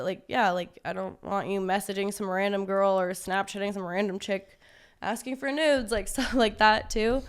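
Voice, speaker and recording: Young woman podcast guest, clear and smooth, casual and candid. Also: mid-range voice. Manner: casual, many fillers, laughs things off. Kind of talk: candid conversational interview.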